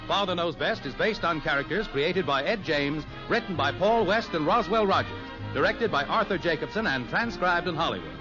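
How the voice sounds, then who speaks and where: A man's voice: a little high, expressive, normal speed, hardly any pauses. A man with an American accent announcing in a scripted 1950s radio style, polished and emphatic. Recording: dull vintage radio recording. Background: music.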